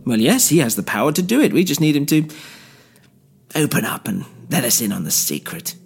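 A man voicing a smug middle-aged male villain who talks in a mocking, gloating, drawn-out way. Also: a little high, big pitch swings, vocal fry, breathy edge, pitched above the performer's own voice, exaggerated voice. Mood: coaxing.

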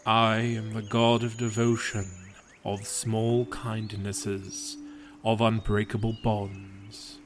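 A man's voice: on the low side, little pitch movement, slow pace, noisy background. A man voicing a loyal male god of friendship who speaks in an earnest, soothing way. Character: devoted young male god, warm and loyal.

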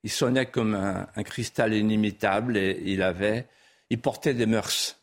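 A man's voice: fairly low pitch, fairly even intonation, measured pace. A man whose first language was a regional patois, a guest author in a studio interview, speaking in a lyrical, sweeping way that builds to emphatic peaks. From France. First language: Vendée patois.

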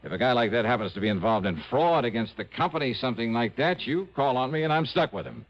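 An actor playing a brisk, wry, hard-boiled male investigator in a 1950s radio drama, with clipped, slangy talk. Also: medium pitch, animated, natural voice. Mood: firm. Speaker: lead actor of a radio detective series. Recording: dull vintage radio recording.

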